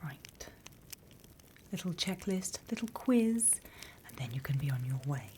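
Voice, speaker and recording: A British woman voicing a prim demon teacher in a soft, deliberate whispered ASMR roleplay. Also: sits low, lively intonation, slight background noise. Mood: patient, instructive. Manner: soft, deliberate, instructional, with pauses. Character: female demon teacher, softly menacing, encouraging, prim.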